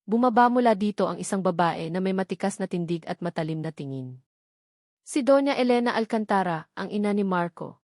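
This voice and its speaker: A woman's voice: mid-range voice, lively intonation, fairly quick, darkish tone, no room sound, studio quality. A woman narrator reading a scripted romantic drama in a storytelling style.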